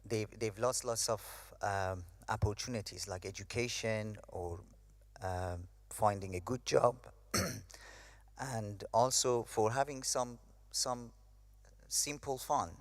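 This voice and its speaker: A man's voice: fairly low pitch, slow pace, dry recording. A young man from Iran giving a hesitant personal testimony, with many fillers. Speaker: speaker sharing his story.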